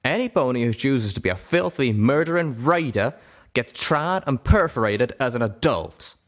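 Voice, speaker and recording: A man's voice: medium pitch, animated, moderate pace, voice pushed up, natural voice. A man voicing a proud, stubborn cowboy pony gunslinger with folksy, drawling speech in a storybook reading. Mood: defiant.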